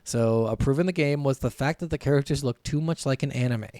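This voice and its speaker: A man's voice: mid-range voice, a little fast, warm tone, no echo, studio quality. A man co-hosting a podcast, chatting informally with plenty of swearing and commenting on his notes as he goes. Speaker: podcast co-host. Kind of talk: casual chat, reading notes and commenting.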